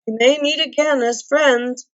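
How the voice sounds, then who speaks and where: A woman voicing a timid but indignant little male hobbit in a storybook, squeaky, fussy and polite. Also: fairly even intonation, unhurried. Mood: anxious, polite. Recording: dull lo-fi roomy recording.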